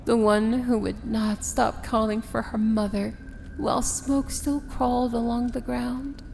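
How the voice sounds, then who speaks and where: Casting: female actor as proud, tender villain princess for ASMR fantasy roleplay; unhurried, theatrical, intimate delivery. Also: fairly high pitch, level tone, gravelly, a little hiss or hum. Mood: tender, knowing. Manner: theatrical, deliberate, often dropping to a hushed whisper. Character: proud, tender princess of evil, wry and regal.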